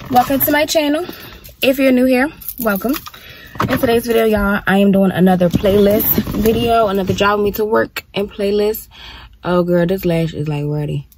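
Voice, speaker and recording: A woman's voice: medium pitch, normal speed, noisy background. Slangy young woman chatting while driving. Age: young adult. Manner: chatty, slangy, swears freely. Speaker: vlogger. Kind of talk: casual chat while driving. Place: inside a car. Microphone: phone camera on the dashboard. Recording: music playing under the voice. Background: music playing in the car.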